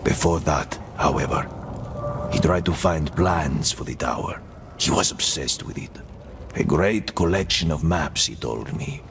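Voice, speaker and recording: A man playing a weary, sly old prisoner in a video game, musing in measured, deliberate phrases. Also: extremely low pitch, noisy background. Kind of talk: dramatic video game acting.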